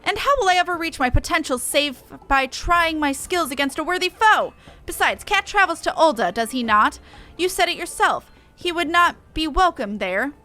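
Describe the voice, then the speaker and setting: A woman voicing a brash, proud female beastman warrior eager for battle, forceful and theatrical with archaic phrasing. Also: sits high, expressive, normal speed, faint music behind, pitched above the performer's own voice. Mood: indignant, fired up. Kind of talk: reading game dialogue aloud.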